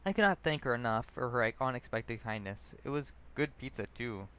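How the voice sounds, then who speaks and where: A man's voice: medium pitch, lively intonation, normal speed, a bit bright, smooth. A man reading his own personal essay aloud as narrator, stumbling over words and correcting himself. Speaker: narrator and author.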